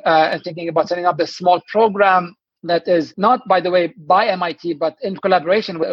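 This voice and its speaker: A man's voice: on the high side, husky, no echo, muffled recording. A man from Lebanon, a venture investor, telling his background in a podcast interview in a fluent, storytelling way. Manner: fluent, storytelling, some restarts.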